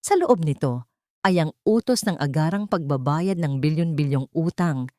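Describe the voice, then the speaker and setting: A woman narrating a dramatic love story in a measured, storytelling manner. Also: low voice, lively intonation, darkish tone, no echo, studio quality.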